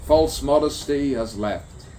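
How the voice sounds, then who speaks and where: A man with a medium-pitched voice delivers improvised spoken-word poetry at an unhurried pace. He breaks it into short, theatrical, musing phrases that repeat and rhyme. Faint background noise of crickets and birds sits behind him. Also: warm tone. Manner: rhyming, repetitive wordplay in short, deliberate phrases. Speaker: poet.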